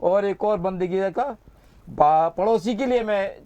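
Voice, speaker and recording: A man's voice: high-pitched, slow pace, mellow, dull audio. A man reciting patriotic verses at a poetry session, repeating each couplet and sometimes singing them in a melodic line. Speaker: poet.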